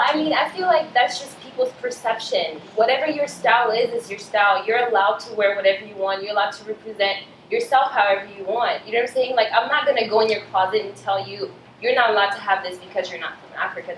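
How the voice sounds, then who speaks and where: A woman's voice: medium pitch, steady tone, fast talker, hardly any pauses, fairly bright tone. A young woman from Cameroon, a native French speaker, chatting casually and assertively as she trades questions and answers on a vlog. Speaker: vlog host. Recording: roomy echo, clear background noise.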